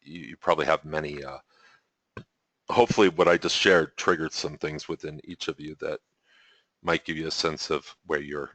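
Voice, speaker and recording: A man with a deep voice leading a spiritual webinar over a video call, talking casually, rambling and correcting himself and chuckling often. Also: steady tone, normal speed, a bit bright, no room sound. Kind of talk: casual teaching talk.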